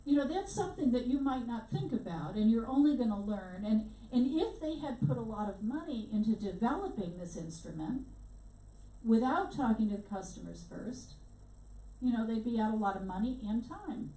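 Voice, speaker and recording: A woman's voice: fairly high pitch, expressive, moderate pace, dark tone. A woman teaching a workshop, talking conversationally with plenty of fillers and restarts. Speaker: workshop instructor. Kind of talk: informal workshop lecture. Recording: echoey room, mic at a distance.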